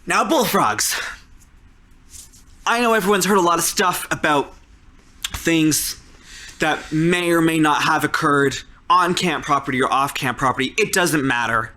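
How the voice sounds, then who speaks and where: A man playing a bossy, flustered camp counselor in a tabletop game, repeating himself haltingly as he tries to keep order. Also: fairly high pitch, bright, forceful tone, pitched above the performer's own voice. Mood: nervous, bossy. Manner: halting, repetitive, insistent.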